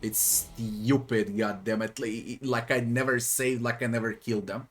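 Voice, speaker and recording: A man's voice: mid-range voice, fairly even intonation, measured pace. A man from Serbia with a Serbian accent gives casual game commentary, grumbling, swearing and muttering to himself. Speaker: gamer doing a playthrough. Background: faint game music.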